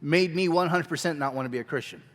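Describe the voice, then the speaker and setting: A man teaching a children's ministry workshop at a conference, talking energetically and conversationally on a handheld mic. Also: on the high side. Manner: energetic, conversational, quick asides. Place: large church hall. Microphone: handheld microphone. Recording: long hall reverb.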